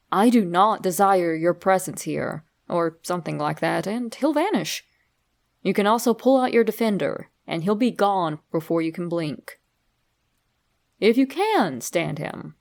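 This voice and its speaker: Slow-paced woman narrating horror. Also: fairly low pitch, vocal fry. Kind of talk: creepypasta story reading, instructional scripted narration.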